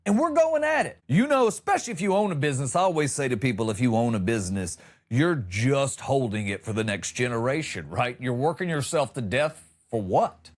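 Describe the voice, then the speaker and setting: A man's voice: medium pitch, animated, a little fast. A man delivering a comedic monologue as a podcast host, ranting in an exasperated, emphatic, sarcastic way.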